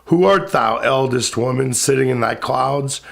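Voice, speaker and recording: A man's voice: mid-range voice, unhurried, creaky, fairly bright tone, exaggerated voice. A man playing a wrathful, sorrowing ancient spirit king in a recited epic poem, speaking in a grand, commanding, declamatory way. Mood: imperious. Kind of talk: dramatic epic verse recitation.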